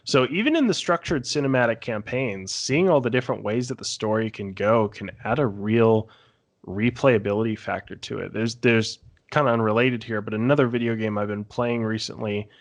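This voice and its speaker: Guy with lowish voice rambling casually on a podcast, bit sweary. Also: normal speed. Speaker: podcast guest or panelist. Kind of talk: casual chat recounting tabletop game sessions.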